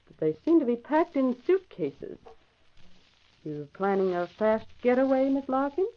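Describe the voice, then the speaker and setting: An actress playing a poised, determined secretary sleuth with crisp, pointed delivery in an old radio drama. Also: female voice, fairly high pitch, very animated, unhurried. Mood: coolly probing. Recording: muffled old radio recording.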